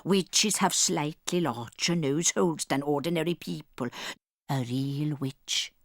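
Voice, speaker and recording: An actress playing a doting, gravely dramatic old Norwegian grandmother in an audiobook drama, telling things with theatrical emphasis. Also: deep voice, no room sound, clean studio sound. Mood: dramatic, instructive. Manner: theatrical, emphatic, slow and deliberate storytelling.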